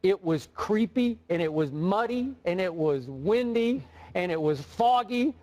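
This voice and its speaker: A man's voice: sits high, lively intonation, measured pace, darkish tone. A man arguing his opinion on a studio sports debate show, emphatic and repeating words as he presses his point.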